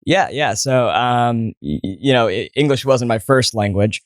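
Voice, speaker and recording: A man's voice: medium pitch, animated, a little slow, dry recording, clean studio sound. A young man in his twenties with an American accent, telling stories as an interview guest, full of fillers and restarts. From born in Saudi Arabia, raised partly in New Jersey. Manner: many fillers and restarts, storytelling. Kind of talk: casual interview talk.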